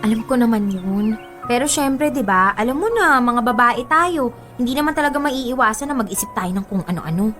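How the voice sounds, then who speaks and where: A woman's voice: fairly high pitch, lively intonation, normal speed, fairly bright tone, faint music behind. An actress with a Filipino accent playing a giddy, girlish young bride-to-be in a radio drama, gushing to her friend. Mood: earnest.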